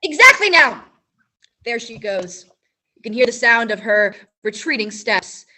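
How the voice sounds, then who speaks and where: Girl playing a quick dramatic radio narrator, bright lively voice. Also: on the high side, a little hiss or hum, heavily acted. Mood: narrating. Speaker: narrator and reporter. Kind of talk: high school stage radio play.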